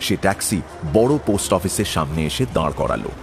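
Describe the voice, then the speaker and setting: A man's voice: low-pitched, sing-song, fast talker, vocal fry, music in the background. A man narrating a scripted audio story.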